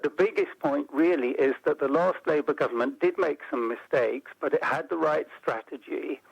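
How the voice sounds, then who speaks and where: Man, measured explainer, radio guest, dull lo-fi sound. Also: a little high, vocal fry, husky, no echo. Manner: measured, explanatory, builds lists. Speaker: interview guest, report author. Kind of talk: radio interview.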